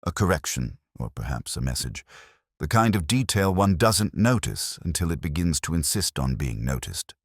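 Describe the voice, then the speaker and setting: A man narrating a first-person detective story in a measured, melancholy, literary manner. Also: rumbling low, big pitch swings, dry recording, clean studio sound. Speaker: audiobook narrator.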